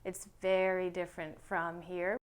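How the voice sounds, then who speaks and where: A woman's voice: fairly low pitch, little pitch movement, measured pace, smooth. A young woman speaking steadily and matter-of-factly in a personal interview. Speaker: interviewee, daughter.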